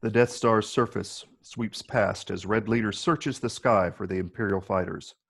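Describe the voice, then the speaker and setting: Brief: male narrator for an action screenplay, lowish pitch, husky and creaky, reads stage directions. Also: steady tone, normal speed, a bit bright. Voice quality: gravelly, husky.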